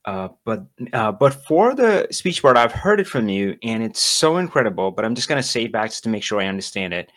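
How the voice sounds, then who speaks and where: A man's voice: lowish voice, very animated, fairly quick, few pauses, a bit bright. A man hosting a casual interview, enthusiastic and encouraging toward his guest. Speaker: podcast host.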